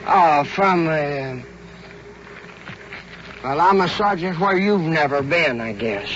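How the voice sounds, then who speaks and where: A drunk man from Arkansas with a somewhat high male voice talks haltingly and repeats himself as he answers police. The sound is a dull old field recording with clear vehicle and radio noise. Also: animated, fairly bright tone. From Arkansas, United States. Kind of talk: spontaneous answers to police questioning.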